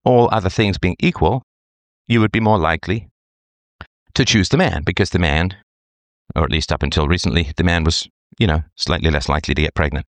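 A man's voice: a bass voice, no room sound. A middle-aged man, hosting a talk show and commenting at length in a conversational monologue, often checking in with "right?". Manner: conversational, tag questions like "right?". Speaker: host reading a listener's letter.

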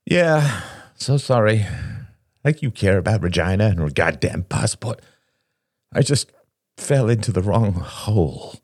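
A man voicing a bitter, ashamed recovering addict, a self-pitying younger brother who talks haltingly in an audiobook story. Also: deep voice, darkish tone, breathy edge, no echo, studio quality, pitched above the performer's own voice. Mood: bitter, wounded. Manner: mumbling, halting, stammering, then bursting out.

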